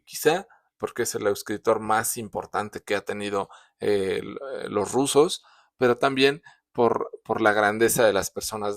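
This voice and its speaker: Man hosting a book review, hoarse throat, low voice, hesitant, dry close mic. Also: no room sound. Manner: hesitant, many fillers and restarts. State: sore throat, coughing. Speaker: book reviewer, show host. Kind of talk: casual spoken book review.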